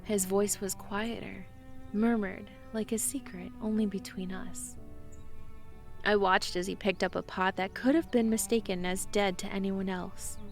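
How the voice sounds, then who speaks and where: A woman's voice: medium pitch, steady tone, unhurried, lightly acted. A woman playing a shy, eager young druid in a romantic audio drama, with an earnest manner. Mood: eager, tender. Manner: earnest, stammering when flustered in dialogue. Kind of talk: romantic audio drama, first-person narration and dialogue. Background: music.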